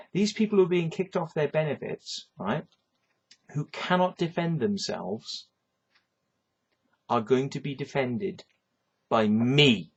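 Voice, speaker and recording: Welsh man, ranting vlog, swings up and down in pitch, slow husky delivery, dull lo-fi sound. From Wales. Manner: rambling, swearing, repeats yeah and right as tags. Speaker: vlogger. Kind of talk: ranting monologue to camera.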